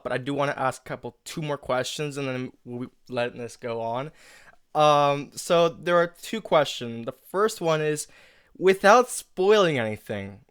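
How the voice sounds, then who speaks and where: Male interview host, smooth clean voice, informal and repetitive phrasing, close dry sound. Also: on the high side, fairly bright tone, dry recording. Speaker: host interviewing a collaborator, writer of an audio drama. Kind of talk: casual creator commentary interview.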